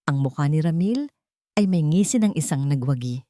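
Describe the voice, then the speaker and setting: A woman's voice: low voice, animated, moderate pace, mellow, no room sound, studio quality. A woman narrator reading a romantic story as dramatic scripted narration.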